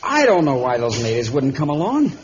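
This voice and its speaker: A man's voice: mid-range voice, wildly swooping pitch, fairly quick. An actor playing an exasperated, bickering young man on safari in an old radio adventure serial. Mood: grumbling. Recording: muffled old radio recording, faint noise.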